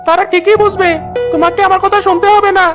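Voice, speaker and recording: Squeaky, even-toned little boy. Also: quick pace, over music. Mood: complaining. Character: small youngest brother, naive tattletale child. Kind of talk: Bengali fairy-tale cartoon dub.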